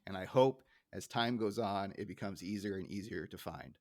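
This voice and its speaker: A man's voice: sits low, normal speed, darkish tone, no room sound. Casual male podcast host, close dry sound.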